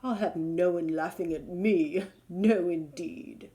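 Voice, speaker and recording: A woman's voice: lowish voice, takes it slowly, dark tone. A woman voicing a proud male giraffe in a pompous, huffy manner for a storybook. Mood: haughty. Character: proud, haughty male giraffe, easily indignant.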